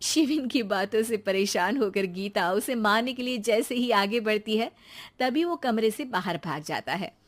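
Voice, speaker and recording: A woman narrating a cartoon story in a lively, expressive storytelling manner. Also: medium pitch, normal speed.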